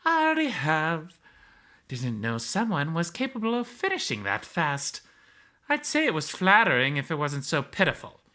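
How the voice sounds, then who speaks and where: Man playing a high-pitched, taunting hyena fighter. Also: wildly swooping pitch, moderate pace, bright, forceful tone, exaggerated voice. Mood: smug taunting. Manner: taunting, theatrical, sarcastic. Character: cocky, mocking, sultry hyena fighter, playful and menacing.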